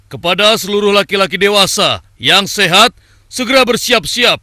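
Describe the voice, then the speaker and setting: A man's voice: high-pitched, expressive, moderate pace, sharp tone, heavily acted. A man voicing a stern army herald in a cartoon, proclaiming in formal words. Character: stern army herald making public announcements. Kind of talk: Islamic cartoon dubbing.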